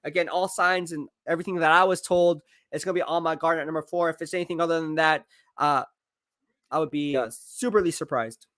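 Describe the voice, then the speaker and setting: A man live-streaming football draft commentary, excitable and hyping each pick, his voice going hoarse. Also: fairly high pitch, brisk, no echo. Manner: excitable, hypes picks, reads chat aloud. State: losing his voice.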